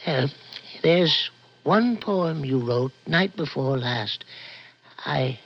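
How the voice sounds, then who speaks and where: A man playing a weary, gentle, very old prison guard, soft-spoken and wistful, in 1960s radio drama style. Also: fairly high pitch, lively intonation, very slow, exaggerated voice. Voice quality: creaky, husky old voice. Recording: dull old radio recording.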